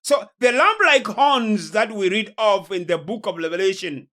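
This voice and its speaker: A man from Kenya with a Kenyan accent, preaching in a solemn, deliberate lecture style with emphatic stresses. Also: high voice, lively intonation, bright, forceful tone. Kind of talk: sermon-like religious lecture.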